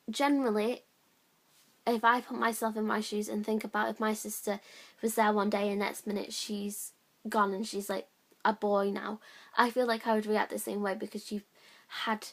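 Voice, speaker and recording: Young woman, drama student, gravelly, flat delivery, halting with fillers. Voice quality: high-pitched voice, by her own account. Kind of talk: reflective spoken account.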